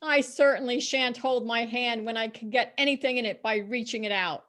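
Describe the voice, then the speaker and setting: A woman's voice: fairly high pitch, moderate pace, fairly bright tone, lightly acted. A woman playing a bold, defiant Victorian charwoman in a dramatic story reading, speaking with scornful emphasis. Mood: unashamed. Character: bold, defiant, unrepentant Victorian charwoman selling stolen goods.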